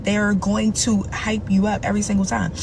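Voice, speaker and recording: A woman's voice: medium pitch, little pitch movement, normal speed, creaky, darkish tone, a little hiss or hum. A woman speaking frankly and at length, giving her opinion in a commentary video. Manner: frank, opinionated, talks it through.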